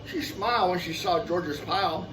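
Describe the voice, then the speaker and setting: Expressive high-voiced man reading to kids. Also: moderate pace. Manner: expressive, repeats phrases for young listeners. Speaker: storyteller. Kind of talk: children's picture-book read-aloud with casual asides. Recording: noisy, picked up from a distance.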